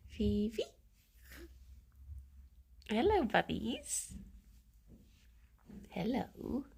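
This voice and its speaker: A woman's voice: fairly high pitch, wildly swooping pitch, very slow, gravelly, long pauses, bright, forceful tone, slightly rough. A woman streamer chatting casually and greeting viewers by name, a bit snuffly from a cold. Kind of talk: casual chat with live chat.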